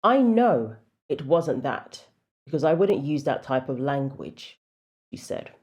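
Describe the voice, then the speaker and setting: A woman with a deep voice giving casual, opinionated commentary with wry asides. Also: sing-song, unhurried, darkish tone.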